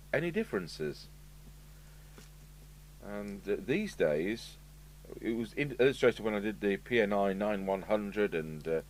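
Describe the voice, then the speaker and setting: An English man hosting a casual, unscripted repair video, rambling chattily with asides as he demonstrates. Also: fairly low pitch. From United Kingdom. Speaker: video host and radio repairer. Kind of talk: casual unscripted talk to camera while demonstrating. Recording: steady hum and background noise.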